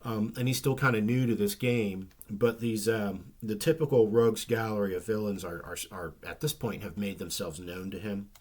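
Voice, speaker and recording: A man chatting casually about comic books, his voice a little rough and nasal from a recent flu, rambling with many ums and restarts. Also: fairly low pitch, fairly even intonation, normal speed, hardly any pauses, a little mellow. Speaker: comic book vlogger. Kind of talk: casual chat.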